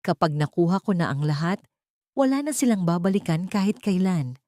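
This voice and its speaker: Lady narrator doing a scheming old filipina matriarch, bossy and greedy, audio story, lowish dry voice. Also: expressive, brisk, mellow, no echo, close to an everyday voice. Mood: greedy, commanding. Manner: conspiratorial, commanding.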